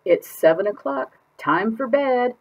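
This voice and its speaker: A woman voicing storybook parents and grandparents, calling out deliberately with drawn-out words. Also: fairly high pitch, lively intonation, muffled recording, a little hiss or hum, pitched above the performer's own voice. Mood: firm, calling.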